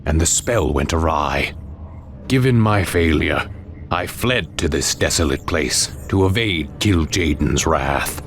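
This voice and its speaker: An actor playing a proud, brooding ancient demon hunter lord in a game cutscene, solemn, grandiose and commanding. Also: male voice, a bass voice, animated, takes it slowly, slight background noise, heavily acted. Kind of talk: video game cutscene acting. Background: music.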